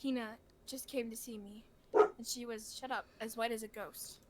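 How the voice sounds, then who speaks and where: Woman playing cool teen girl detective for visual novel: high pitch, deadpan, measured, blunt delivery. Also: heavy background noise, natural voice. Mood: cool, calm. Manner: measured, matter-of-fact, deadpan. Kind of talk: visual novel read-aloud acting.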